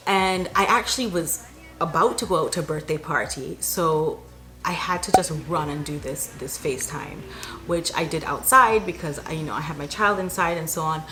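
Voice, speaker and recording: A woman from Barbados telling a personal story to camera as a vlogger, in an animated, chatty and emphatic way. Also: sits low, moderate pace, over music.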